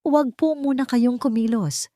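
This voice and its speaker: A woman voicing a calm, determined wronged bride who speaks with cool, deliberate defiance in a story. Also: high voice, no room sound, clean studio sound, pitched above the performer's own voice, natural voice. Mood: resolute.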